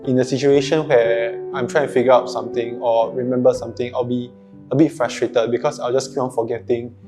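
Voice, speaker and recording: A man's voice: mid-range voice, little pitch movement, fairly quick. A man, a university student answering questions thoughtfully and a little unsure. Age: 20s. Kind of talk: casual interview answers. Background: guitar music.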